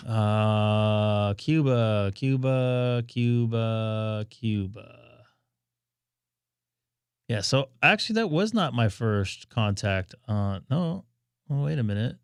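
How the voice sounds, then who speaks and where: A man hosting a ham radio live stream, chatting and thinking aloud as he operates, his voice going hoarse. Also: lowish voice, expressive, takes it slowly, no echo, studio quality. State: losing his voice.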